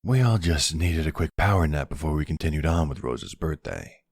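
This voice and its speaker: A man playing a weary, affectionate older retired mafia boss in an audio roleplay, gently gruff and unhurried. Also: extremely low pitch, expressive, dry recording, clean studio sound, exaggerated voice. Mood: tired, easygoing.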